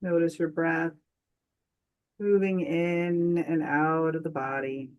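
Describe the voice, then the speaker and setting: Meditation guide: woman, lowish voice, very slow delivery, gentle and soothing, generous pauses. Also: fairly even intonation, lo-fi sound.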